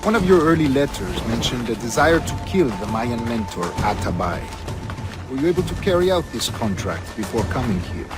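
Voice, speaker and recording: An actor playing an authoritative older governor and scheming grandmaster in a video game, with a Spanish accent and a measured, formal, grandiose delivery. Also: male voice, mid-range voice, sing-song, music in the background, close to an everyday voice. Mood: composed, commanding. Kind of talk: dramatic video game acting.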